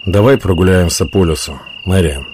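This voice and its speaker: A man's voice: deep voice, normal speed, a little mellow, close to an everyday voice. Assured outlaw leader played by a man, faint noise. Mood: gentle.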